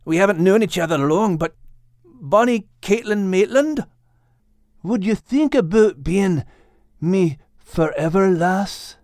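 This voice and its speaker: A man's voice: sits high, expressive, slow, darkish tone, dry recording, pitched above the performer's own voice, heavily acted. A brave young Scottish terrier, voiced by a male audiobook reader, speaks with a Scottish accent. His voice is raised and swings freely in a dry, close recording, full of tender feeling. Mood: tender, hopeful. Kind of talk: audiobook character voice.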